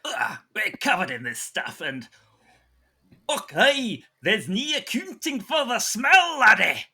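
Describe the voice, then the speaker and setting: A performer plays a grumbling, disgusted colleague in a comic radio play. The voice is very piercing and husky, with wide swings of pitch and a slow delivery. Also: sing-song, sharp tone, heavily acted. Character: grumbling slime-soaked colleague saying "laddie".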